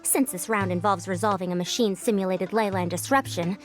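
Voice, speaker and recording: A woman's voice: medium pitch, a little fast, soft background music, close to an everyday voice. A woman voicing a self-assured, playful senior scholar in a video game, with lofty, formal phrasing. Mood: conspiratorial.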